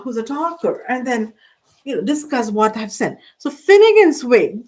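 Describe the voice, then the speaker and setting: A woman's voice: high voice, distant mic, poor audio. An older woman vlogger talking in a rambling, hesitant way, full of fillers and restarts. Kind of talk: rambling casual monologue.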